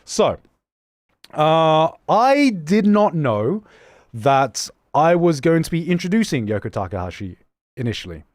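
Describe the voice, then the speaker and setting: A man's voice: a little high, no echo, studio quality. A young man with a mixed, slightly British-tinged accent, chatting casually on a livestream and reading viewer messages, laughing often and restarting his sentences. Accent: mixed, slightly British-tinged English. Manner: animated, laughing often, many quick restarts. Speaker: streamer.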